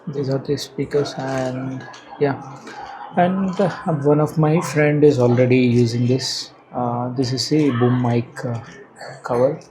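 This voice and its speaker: A man with an Indian accent giving casual unboxing and installation commentary as a product reviewer, halting and hesitant as he thinks aloud. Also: mid-range voice, fairly even intonation. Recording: clearly noisy background ambience.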